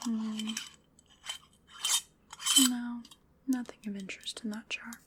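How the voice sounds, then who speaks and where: A woman playing a playful, fussy vampire in a whispered ASMR roleplay, musing softly and coaxing with a mildly bossy touch. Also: a little high, little pitch movement, takes it slowly, heavy background noise. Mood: musing, unhurried. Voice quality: soft breathy whisper. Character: playful, fussy female vampire seasoning her human snack.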